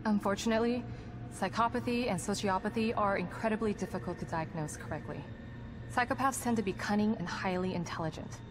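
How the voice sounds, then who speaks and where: A woman's voice: mid-range voice, brisk, close to an everyday voice. Actress as guarded lady psychologist in a video game, precise n clinical, composed, music behind her. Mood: sober, analytical. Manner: precise, clinical, formal vocabulary. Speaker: psychologist character in a horror game. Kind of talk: video game cutscene acting. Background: background music.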